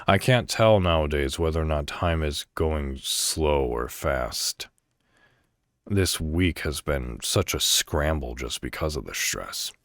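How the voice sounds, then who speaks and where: A man's voice: a bass voice, expressive, takes it slowly, fairly bright tone, no room sound, studio quality. A man hosting a solo podcast in a casual, conversational and somewhat rambling way. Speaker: podcast host. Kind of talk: casual solo podcast talk, reading articles aloud.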